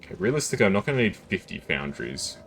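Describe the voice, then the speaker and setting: A man with a deep voice streaming a game, chatting casually with viewers and thinking aloud as he plays. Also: fast, creaky, soft background music. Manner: relaxed, chatty, thinks aloud while reading chat. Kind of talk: casual gameplay chat with viewers.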